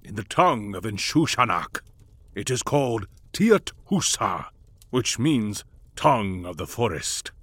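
Man doing a proud, bitter old aristocrat, husky and slow, lively swings in pitch. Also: no room sound, full character voice. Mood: solemn. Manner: slow, growling delivery. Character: old male lord, gruff, bitter and proud.

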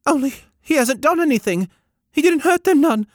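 A man's voice: extremely high pitch, lively intonation, normal speed, dry recording, clean studio sound, voice pushed up. A man voicing a lonely pleading young boy. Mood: upset. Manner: pleading, ungrammatical country speech.

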